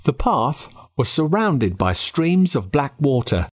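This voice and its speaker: An Australian man narrating a first-person spooky story with dramatic, expressive delivery. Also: mid-range voice, slow pace. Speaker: audiobook narrator.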